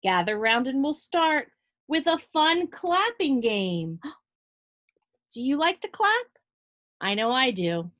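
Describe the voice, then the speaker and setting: Female, slightly high voice, preschool teacher. Animated read-aloud for little kids, simple direct phrasing. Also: slow pace, pauses often. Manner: animated, addresses small children directly, simple explanatory phrasing. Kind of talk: read-aloud to young children with asides and questions.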